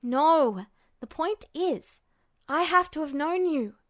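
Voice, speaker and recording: A woman voicing an excited, impatient teenage boy in a story reading, blurting things out with emphatic insistence. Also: high-pitched, slow. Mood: exasperated, insistent. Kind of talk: audiobook-style character dialogue.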